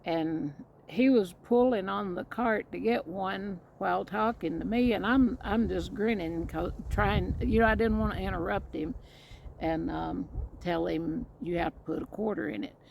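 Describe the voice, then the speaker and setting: Middle-aged Southern woman vlogger, gravelly, rambling chatty storyteller, faint outdoor noise. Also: mid-range voice, a little slow, darkish tone, low-quality recording. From Mississippi and Indiana. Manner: rambling, restarts often, chatty. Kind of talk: casual storytelling to camera. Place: outdoors, storm coming.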